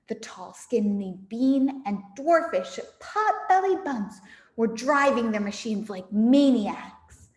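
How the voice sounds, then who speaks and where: A high-voiced woman reads a children's story with lively, dramatic expression. She takes her time over the words. The room rings with a long echo. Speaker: storybook reader for a camp audience. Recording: echoey room with long reverb.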